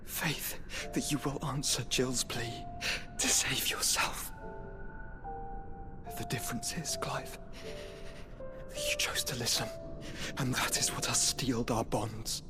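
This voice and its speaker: A man playing a gentle, dying younger brother in a game cutscene, halting and earnest, with a weak breathy voice that often drops to a whisper. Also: medium pitch, steady tone, music in the background, lightly acted. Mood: earnest, fading. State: weak, strained, fading. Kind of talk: scripted game cutscene acting.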